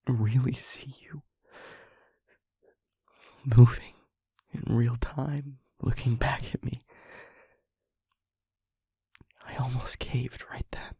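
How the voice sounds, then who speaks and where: A man playing a guilt-ridden demon lover in an audio roleplay, confessing tenderly and in anguish in a halting, breathy, husky hush. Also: mid-range voice, dry recording, clean studio sound, exaggerated voice. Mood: regretful, tender. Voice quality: breathy, husky, often whispering. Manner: halting, hushed, dramatic pauses. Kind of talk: intimate audio roleplay monologue.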